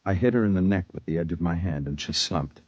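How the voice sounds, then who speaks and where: Deep-voiced brooding radio reporter. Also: male voice, fast talker, darkish tone, muffled recording. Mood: brooding, reflective. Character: brooding lonely male newspaper reporter telling his own story. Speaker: narrator and lead actor. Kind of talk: 1950s radio drama acting. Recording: noisy 1950s radio recording.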